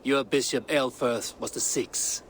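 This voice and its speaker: A man's voice: mid-range voice, fairly even intonation, unhurried, lightly acted, poor audio. Man acting a stern Viking raider, faint background noise. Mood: probing. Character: blunt, fearless Viking raider, proud and stern. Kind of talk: dramatic video game cutscene acting.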